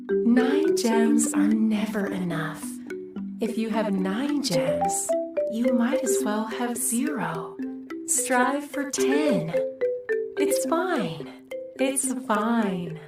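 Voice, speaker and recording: Slow, eerily soothing radio announcer, loud organ behind. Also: female voice, on the high side, animated. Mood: serene. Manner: slow, reassuring, repetitive, hypnotic. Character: serene, eerily soothing female theme-park radio voice. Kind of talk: scripted theme-park radio announcements. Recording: roomy echo under loud organ music. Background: loud organ music.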